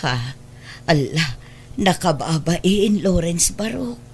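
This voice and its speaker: A woman's voice: fairly low pitch, lively intonation, slow, breathy edge, full character voice. A woman playing a poor, humble, anxious mother in a radio drama, plaintive and hesitant, with an Ilocano Filipino accent.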